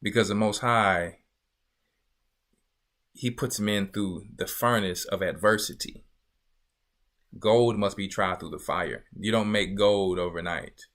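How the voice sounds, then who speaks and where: A man's voice: on the low side, takes it slowly, pauses often, a bit bright. A man teaching a Bible lesson as a church elder, emphatic and repetitive, often asking listeners if they see his point. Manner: emphatic, repeats phrases and asks listeners "you see". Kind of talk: sermon-style Bible teaching.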